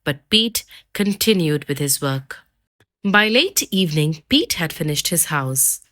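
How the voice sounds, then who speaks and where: A woman reading a children's fairy tale aloud with expressive storytelling. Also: deep voice, unhurried. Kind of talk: children's read-aloud narration.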